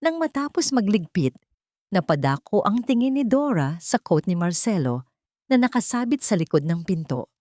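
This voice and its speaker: A woman's voice: mid-range voice, sing-song, normal speed, a little mellow, no echo, clean studio sound. A woman reading a scripted, dramatic love story as narrator.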